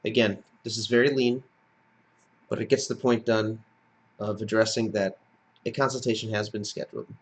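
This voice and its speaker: A man with a slightly low voice narrates a screencast tutorial. He explains each step in a plain-spoken, instructional way, as a teacher guiding someone through software. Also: level tone, normal speed, long pauses, breathy edge.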